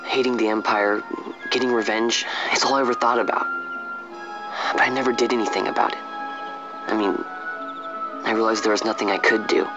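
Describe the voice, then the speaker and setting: Plainspoken young man an actor's role, lowish voice. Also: steady tone, fairly quick, pauses often, close to an everyday voice. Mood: regretful, hollow. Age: young adult. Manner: casual, plainspoken, sincere. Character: restless, grieving young street-bred man seeking his purpose. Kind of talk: dramatic game voice acting. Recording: dull lo-fi game audio over music.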